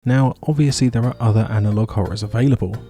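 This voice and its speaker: A man's voice: on the low side, fast talker, loud music behind the voice. A man hosting and narrating a scripted video essay.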